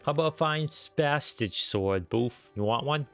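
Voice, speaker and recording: A man's voice: mid-range voice, animated, a little slow, fairly bright tone, faint music behind. A man streaming a video game with a stuffy, slightly nasal voice, rambling casually as he plays. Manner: rambling, lots of fillers and restarts. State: stuffy nose, a bit nasal. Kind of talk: casual gameplay commentary.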